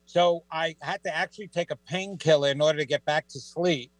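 A middle-aged man with a New York accent, a psychic guest on a talk show, telling stories in a lively, joking way. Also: fairly high pitch, a little fast, dry recording, poor audio. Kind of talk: casual radio chat.

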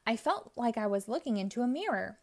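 A woman reading a children's picture book aloud, narrating in a gentle, expressive storytelling manner. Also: on the high side, brisk. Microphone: audio only, close mic.

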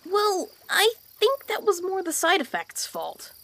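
Woman as a high, blunt little sprout boy. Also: huge pitch swings, unhurried, a bit bright, no echo, full character voice. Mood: frank. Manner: blunt, exasperated, mocking. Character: small newborn magical sprout boy, blunt and cheeky. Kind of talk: fantasy comedy audio drama acting.

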